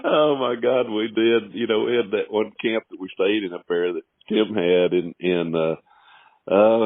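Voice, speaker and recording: A man's voice: on the low side, no echo. An older man hosting a podcast, reminiscing with a guest, laughing often and repeating his words. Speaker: podcast host. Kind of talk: casual interview chat. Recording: dry, narrow phone-like podcast audio.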